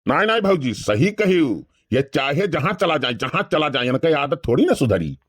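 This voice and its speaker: A man's voice: fairly high pitch, big pitch swings, moderate pace, dry recording, studio quality. A man voicing a gossipy, complaining village uncle in an Awadhi cartoon comedy, with an Awadhi accent. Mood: protesting.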